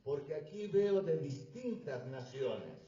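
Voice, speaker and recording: A male preacher with a dark, mellow voice that swings widely in pitch as he builds to fervent emphasis. He speaks slowly in short phrases, leaving room for an interpreter. The sound is distant, roomy and dull, as if from a church hall. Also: expressive. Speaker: visiting preacher. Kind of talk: sermon delivered through an interpreter.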